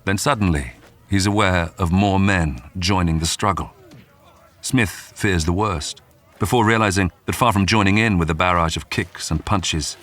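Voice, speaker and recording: A man reading scripted true-crime narration in a measured, dramatic way with suspenseful pauses. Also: deep voice, a bit bright. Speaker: documentary narrator. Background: background music.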